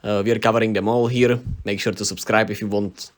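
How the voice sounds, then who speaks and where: A man's voice: fairly low pitch, level tone, fairly quick. A man from the Czech Republic with a Czech accent, hosting his own channel with casual solo basketball commentary, chatty, with lots of fillers and tag questions. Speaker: sports channel host.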